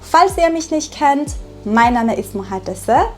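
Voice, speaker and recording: A woman from Iran, a German teacher, speaking slowly and clearly in a vlog for learners and often checking in with tag questions. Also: high-pitched, lively intonation, a little mellow, faint music behind. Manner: deliberate, with frequent tag questions.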